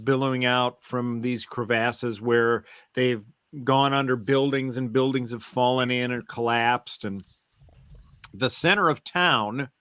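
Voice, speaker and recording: A man co-hosting a podcast, explaining things step by step in a halting, casual way. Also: mid-range voice, steady tone, a bit bright, smooth, dry recording, clean studio sound. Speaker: podcast co-host. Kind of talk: casual fan discussion, recounting and theorizing.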